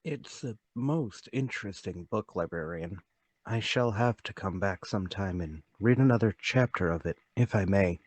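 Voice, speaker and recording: A man's voice: deep voice, lively intonation, normal speed, slight background noise. A man playing a meek, forgetful, troubled former traveling salesman in a radio play, polite, apologetic and sorry for himself. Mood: timid, polite. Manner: polite, apologetic, self-pitying. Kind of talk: radio play table read.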